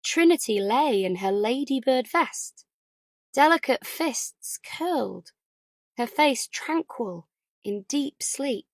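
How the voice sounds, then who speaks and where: Measured woman narrating a thriller. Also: high voice, animated, no echo. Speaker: audiobook narrator.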